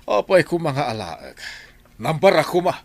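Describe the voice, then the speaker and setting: A man voicing a doctor who calmly questions people about a missing nurse. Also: fairly high pitch, a little slow, heavily acted. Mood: probing. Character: calm doctor questioning a patient's family.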